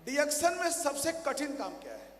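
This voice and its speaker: A hoarse man, a motivational speaker at a company training event, giving a rousing stage speech to a large audience and firing questions at the crowd, repeating them louder. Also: very high-pitched, very animated, normal speed, slight background noise. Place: large hall. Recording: echoey hall, faint ambience.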